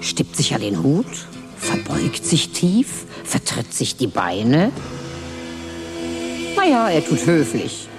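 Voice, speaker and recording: A woman's voice: low-pitched, huge pitch swings, slow pace, pauses often, exaggerated voice. An actress voicing a worldly, cynical mother figure who talks in a dry, wry drawl with whispered asides, over music. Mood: scornful. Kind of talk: radio play acting and first-person narration. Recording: music behind the voice.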